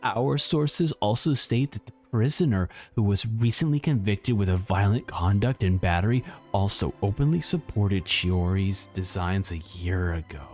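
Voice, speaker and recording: A man streaming a game, reading its text aloud theatrically and adding casual commentary. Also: lowish voice. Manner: animated, theatrical reader.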